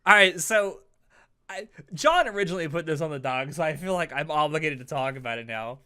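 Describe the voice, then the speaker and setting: Bright, clear-voiced man chatting on a podcast. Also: on the high side, expressive, fairly quick, no room sound, clean studio sound. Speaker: podcast host. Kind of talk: casual podcast chat.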